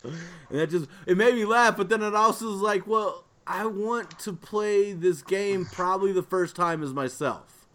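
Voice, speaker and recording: A man's voice: high voice, measured pace, pushed and bright. A man co-hosting a podcast in casual chat, talking in a rambling, loosely phrased way. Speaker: podcast co-host.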